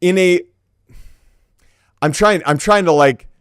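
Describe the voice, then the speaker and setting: A man's voice: high voice, slow pace, clean tone, dry recording, studio quality. A man co-hosting a podcast in casual discussion, talking reflectively and hedging as he builds careful arguments. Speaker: podcast host.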